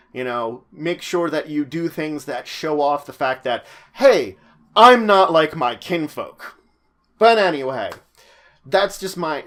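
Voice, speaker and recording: A man presenting a tabletop roleplaying guide in an informal, semi-scripted explanatory way, halting and correcting himself at times. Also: fairly high pitch, fairly bright tone.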